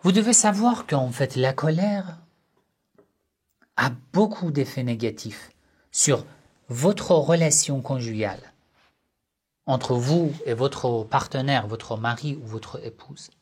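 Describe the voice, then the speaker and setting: A man giving a religious lesson on family life, speaking in short, measured phrases and asking rhetorical questions that he then answers himself. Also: mid-range voice, darkish tone, breathy edge. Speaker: religious teacher.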